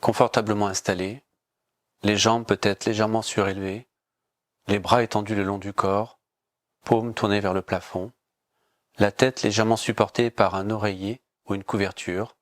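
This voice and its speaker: A man with a fairly low voice guides a relaxation practice like a yoga teacher. His delivery is calm and measured, with repeated, simple instructions. The sound is dry and close, with little room on it. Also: no echo.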